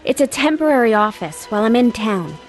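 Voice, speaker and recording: A woman's voice: on the high side, big pitch swings, fairly quick, soft background music. A woman playing a dry, plain-spoken academic caught up in ghostly events in a video game. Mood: composed.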